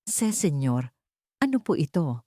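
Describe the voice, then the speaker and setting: A humble servant woman played by a female narrator in an audio love drama. She pleads in bewilderment, respectful and trembling, with a mellow tone and dry sound. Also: low voice, very animated, measured pace, no room sound. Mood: confused.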